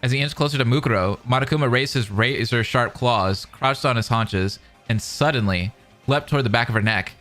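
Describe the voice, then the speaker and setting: Casting: animated male streamer, mid-pitched forceful voice, dramatic reading with excited asides, faint music. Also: brisk. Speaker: reaction streamer. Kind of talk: reading narration aloud with excited commentary.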